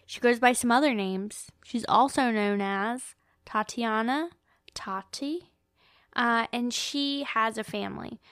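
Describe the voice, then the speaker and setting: A woman's voice: on the high side, slow pace, fairly bright tone, clean tone, dry recording, clean studio sound. A woman hosting a podcast, chatting casually and comedically about a book in a playful, emphatic, sweary way. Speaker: podcast host.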